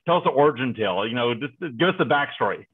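Chatty host on a tinny call. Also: male voice, medium pitch, lively intonation, very fast, slightly rough. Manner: chatty, playful, many fillers. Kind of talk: video call interview. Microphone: headset on video call. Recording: tinny phone-like video call line.

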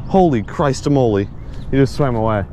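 A young man giving a casual, excited running commentary while fishing from a kayak, laughing often and calling his viewers dude. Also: medium pitch, fairly quick, slight background noise. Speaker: fishing vlogger. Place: outdoors on a kayak on a lake.

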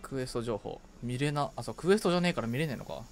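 A man casually chatting and reacting aloud as he plays a video game with a friend, excitable in manner. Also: mid-range voice, very fast. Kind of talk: casual co-op gaming chat. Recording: game music behind the voice. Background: video game music.

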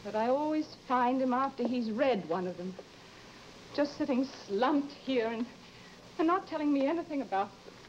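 A woman's voice: high-pitched, lively intonation, normal speed. A woman playing an anxious, suspicious young wife who is imperious with servants, in an old-time radio horror drama. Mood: agitated, troubled. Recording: dull old radio recording with hiss.

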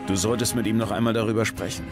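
An actor playing a loyal, level-headed warrior hero in a video game, terse and dry in manner. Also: male voice, on the low side, level tone, rapid-fire, close to an everyday voice. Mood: calm, steady. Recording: background music. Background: game music.

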